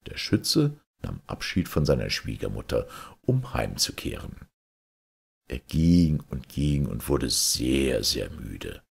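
Male fairy-tale narrator, extremely deep and raspy, expressive storytelling delivery. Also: takes it slowly. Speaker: storyteller reading fairy tales.

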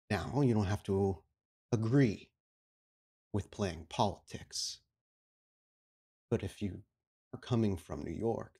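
A man playing a stern, calculating vampire prince in a tabletop game, speaking formally and deliberately. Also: low voice, darkish tone, husky. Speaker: storyteller playing a character.

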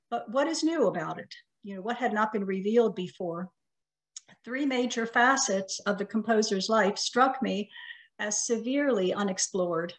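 A middle-aged woman whose first language is Russian delivers a prepared lecture in a measured, careful manner. Her voice sits at an ordinary middle pitch, and her phrases tend to trail off softly at the ends. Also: rough recording. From United States.

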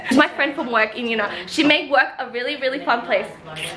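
A woman's voice: sits high, animated, fast talker, a loud crowd. A woman, chatting casually and playfully in a vlog. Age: early 20s. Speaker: vlogger. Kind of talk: casual birthday vlog chat. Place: apartment bedroom and living room. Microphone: handheld camera at arm's length. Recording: loud background noise, handheld camera in apartment.

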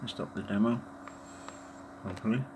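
A man giving a casual, halting hands-on demonstration, muttering to himself in an informal way. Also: on the low side. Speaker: tech video maker. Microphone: handheld phone or camera held over the device. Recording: noisy amateur handheld camera recording.

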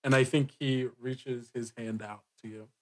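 A man's voice: medium pitch, fairly even intonation, unhurried. A man describing the action in a tabletop roleplaying game. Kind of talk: narrating a tabletop roleplay scene.

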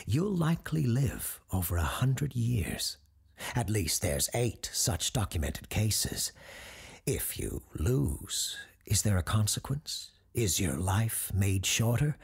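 An actor playing an eerie, learned radio host who tells supernatural tales in a measured, ominous way with deliberate pauses, his voice husky and gravelly. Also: on the low side, a little mellow, heavily acted. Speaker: paranormal advice show host. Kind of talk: scripted dramatic storytelling.

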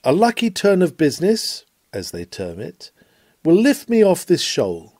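A man delivers a written sermon as a formal oration. His voice is fairly high and mellow, and it rises and falls widely with dramatic emphasis. The phrases are measured, and the sound is close and dry. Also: wildly swooping pitch, dry recording. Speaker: sermon reader. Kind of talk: reading of a written sermon, oratorical.

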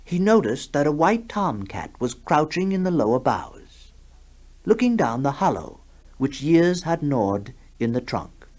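A man narrating a radio horror story in a deliberate, suspenseful, dramatic style. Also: on the high side, very animated, a little mellow. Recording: faintly noisy 1960s radio broadcast.

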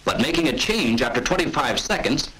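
A man giving scripted, measured and authoritative narration for a 1940s military training film. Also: mid-range voice, expressive, sharp tone, husky, rough recording.

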